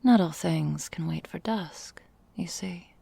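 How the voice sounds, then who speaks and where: Woman doing a tenderly menacing vampire mistress for asmr, super slow breathy whisper, lots of crackly fry. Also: fairly low pitch, dark tone, no echo, heavily acted. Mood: concerned. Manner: slow, velvety, formal old-fashioned phrasing. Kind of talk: intimate ASMR roleplay.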